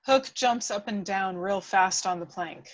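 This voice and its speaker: A woman's voice: medium pitch, a little slow, dull audio. A woman reading scripted screenplay action as the narrator of a table read. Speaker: stage-direction reader.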